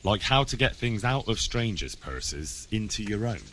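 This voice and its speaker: A man's voice: on the low side, sing-song, normal speed, pushed and bright, slight background noise. An actor playing a polite, curious young man in a medieval video game, asking in a slightly hesitant way. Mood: inquisitive. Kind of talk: acted game dialogue.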